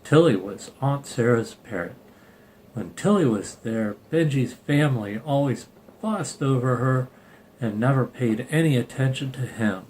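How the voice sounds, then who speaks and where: Male storybook reader, mid-pitched, casual, slight room noise. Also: animated, unhurried, darkish tone, low-quality recording. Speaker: storybook reader and channel host. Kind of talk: reading a picture book aloud with banter.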